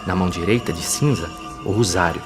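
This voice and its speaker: A man playing a mourning countryman in an animated film, reciting bleak rhythmic burial verse. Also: deep voice, a little fast, music in the background, close to an everyday voice.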